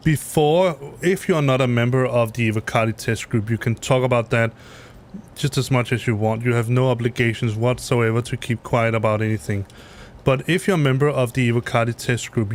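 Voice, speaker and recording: A man's voice: medium pitch, slight background noise. A middle-aged Danish man with a Danish accent chatting on a live stream while flying a simulator, rambling and correcting himself with many fillers. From Denmark. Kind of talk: casual live-stream chat. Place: home basement room.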